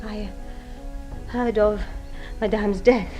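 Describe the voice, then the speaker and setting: A woman's voice: medium pitch, fairly even intonation, slow, dark tone, faint music behind, natural voice. An actress playing a composed, proud young lady of a wealthy household in a British radio drama, polite, precise and formal. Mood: controlled.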